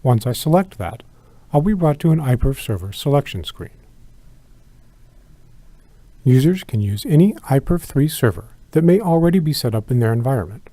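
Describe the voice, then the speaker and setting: A man narrating a scripted product tutorial in a measured, methodical, instructional way. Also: medium pitch, very animated, warm tone, no echo. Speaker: product demonstrator.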